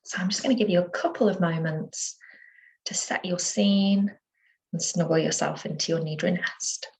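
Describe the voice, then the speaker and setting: A woman teaching yoga nidra, guiding a relaxation meditation in a soothing, unhurried manner with gentle pauses. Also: lowish voice, steady tone, vocal fry, poor audio.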